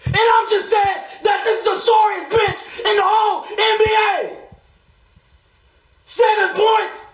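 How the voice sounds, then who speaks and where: A man's voice: steady tone, a little slow, echoey room, some chatter behind, poor audio. A man voicing a furious male basketball star screaming rants at his teammates in a small paneled room. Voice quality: shrill, high strained yelling.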